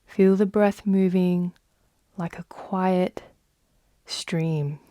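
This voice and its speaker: Slow, mellow woman guiding meditation. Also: on the low side, fairly even intonation, dry recording, clean studio sound. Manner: soft, soothing, unhurried with long pauses. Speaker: yoga nidra guide. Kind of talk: guided meditation.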